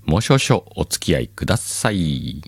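A man hosting a podcast in casual chat, with relaxed, chuckling asides. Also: a bass voice, moderate pace, vocal fry, husky, no echo. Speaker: podcast host.

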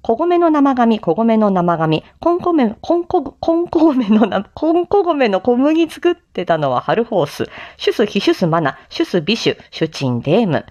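Woman, theatrical recitation, declamatory sing-song delivery, lively intonation, slightly dull lo-fi recording. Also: fairly high pitch, normal speed, hardly any pauses. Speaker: amateur voice drama performer, streamer. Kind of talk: theatrical rapid recitation of a traditional Japanese sales-pitch monologue parody. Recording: slightly dull lo-fi microphone sound.

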